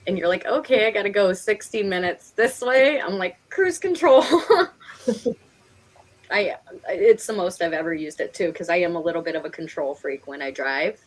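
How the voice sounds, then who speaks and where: A woman's voice: lowish voice, low-quality recording. Chatty Northeastern American woman, giggly. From Pennsylvania and New York, United States. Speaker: live stream host. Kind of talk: casual chat.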